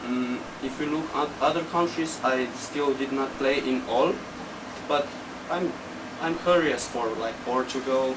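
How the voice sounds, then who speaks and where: Distant, noisy recording of young Slovenian man. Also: mid-range voice. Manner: halting, simple non-native English. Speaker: interview guest, techno DJ and producer. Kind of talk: casual interview answers. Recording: distant mic, loud background hum.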